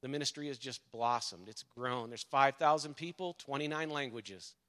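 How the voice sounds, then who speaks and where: A man speaks at an ordinary middle pitch from the front of a church. He introduces a visiting pastor haltingly, with many pauses and fillers. He sounds a little emotional. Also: pushed and bright. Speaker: church member introducing a guest. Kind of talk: church announcement. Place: church sanctuary.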